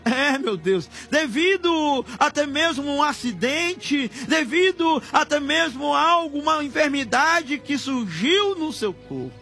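A man's voice: very high-pitched, lively intonation, unhurried, few pauses, bright, forceful tone. A Brazilian man preaching in fervent, improvised prayer, pleading emotionally and often swelling into cries. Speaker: preacher leading prayer on a broadcast. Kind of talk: fervent extemporaneous Pentecostal prayer. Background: background music.

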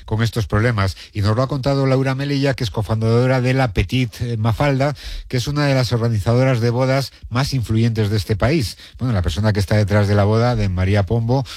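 A man's voice: medium pitch, steady tone, fairly quick, darkish tone, no room sound, clean studio sound. A man hosting a radio magazine show, presenting stories in a wry, conversational way.

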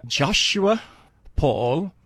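Older radio guest from Barbados, earnest and unhurried, dry close mic. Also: male voice, on the high side, lively intonation, fairly bright tone, husky, no echo. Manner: earnest, halting with fillers and restarts. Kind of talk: interview, telling his life story.

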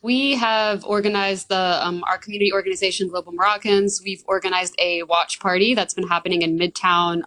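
A woman with a hoarse voice, talking casually as a football fan and guest in a live interview. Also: mid-range voice, fairly even intonation, brisk, few pauses, fairly bright tone, dry recording, low-quality recording. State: hoarse from screaming.

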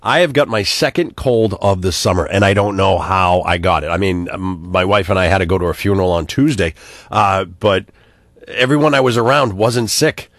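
A man's voice: low voice, normal speed, fairly bright tone, dry recording. A male radio host with a stuffy cold, chatting casually on air and grumbling good-naturedly. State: congested with a cold.

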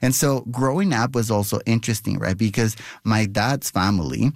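A man's voice: lowish voice, normal speed, creaky, dry recording, clean studio sound. A man from El Salvador, a guest explaining his research earnestly and often checking in with "right?". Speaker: guest, biennial curator and researcher. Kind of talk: conversational panel talk.

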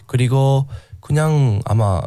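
A man from Australia with an Australian accent, a native English speaker answering interview questions in simple, halting phrases mixed with English words. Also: mid-range voice, dark tone, no echo. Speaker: radio show guest, social media creator. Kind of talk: casual interview in halting second-language speech.

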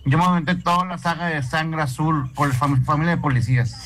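High-voiced man joking. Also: steady tone, brisk, a bit bright. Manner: playful, joking.

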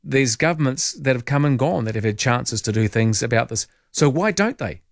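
A man with a New Zealand accent hosting late-night talkback radio in a chatty, jokey way, full of quick asides. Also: mid-range voice, animated, vocal fry, dry recording, clean studio sound. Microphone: studio microphone.

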